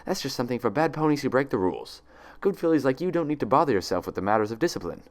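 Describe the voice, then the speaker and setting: Man voicing a falsely sweet pony nurse, raised pitch. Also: sing-song, very fast. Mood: falsely cheerful. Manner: syrupy, condescending, snapping to cold authority. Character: falsely cheerful, icily stern female pony nurse.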